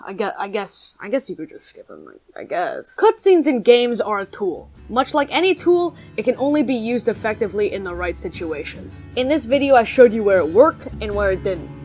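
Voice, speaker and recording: A young woman narrates a video essay on games in a casual, opinionated way, full of slang and swearing. Her voice sits at a middle pitch with faint music underneath. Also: very animated, brisk, hardly any pauses, darkish tone. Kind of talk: scripted casual video game commentary.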